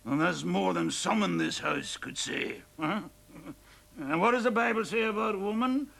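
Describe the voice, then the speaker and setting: An actor playing a drunken, boastful Irish lodger who brags, laughs and shouts in an Irish accent. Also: male voice, high-pitched, unhurried, vocal fry, exaggerated voice. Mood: maudlin confiding.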